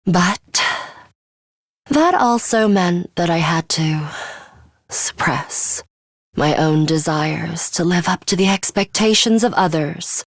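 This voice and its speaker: A composed schoolgirl fighter from a video game, arrogant and quietly bloodthirsty. Her voice is slightly low and very unhurried, with formal, exact phrasing and a faintly sinister edge. The sound is close and dry. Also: expressive, no room sound, exaggerated voice. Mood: composed, aloof. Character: serious, coolly arrogant young schoolgirl fighter with a bloodthirsty streak. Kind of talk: English video game voice acting, measured monologue.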